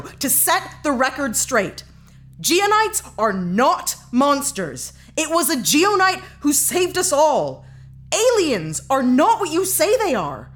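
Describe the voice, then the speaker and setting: A woman's voice: high-pitched, fairly bright tone, full character voice. A woman playing an earnest, indignant, plain-spoken investigator heroine in a sci-fi audio drama. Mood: earnest, impassioned. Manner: earnest, blunt, outspoken. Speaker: talk-show guest character.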